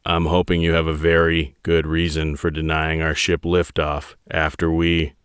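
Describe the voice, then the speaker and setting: A man voicing a stern, commanding navy admiral who speaks in slow, icy, clipped orders. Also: a bass voice, monotone, a bit bright, smooth, dry recording, studio quality. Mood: threatening. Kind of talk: audiobook character voice.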